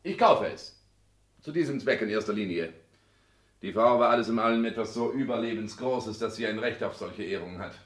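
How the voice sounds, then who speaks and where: An actor playing a pompous, self-important husband in a 1960s German radio play, declaiming and lecturing with affected French phrases. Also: male voice, on the low side, brisk, natural voice. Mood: boastful, grandiose.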